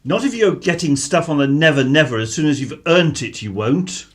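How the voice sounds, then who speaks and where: An actor as a sarcastic British husband, high-pitched. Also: male voice, lively intonation, normal speed, lightly acted. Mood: accusing. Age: middle-aged. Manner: sneering, mock-salesman sarcasm. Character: suspicious, sarcastic, hot-tempered working-class British husband and father. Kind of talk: radio drama acting.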